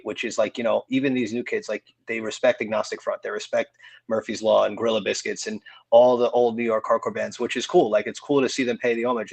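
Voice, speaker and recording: This is a man in his forties, a punk singer being interviewed, who talks in a loose, rambling way full of "like" and "um" and restarts. His voice sits at an ordinary middle pitch. It is recorded close and dry, with no room sound. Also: creaky, slightly rough, no echo. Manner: relaxed, rambling, lots of fillers and restarts. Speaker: hardcore band vocalist, podcast guest. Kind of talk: casual interview chat.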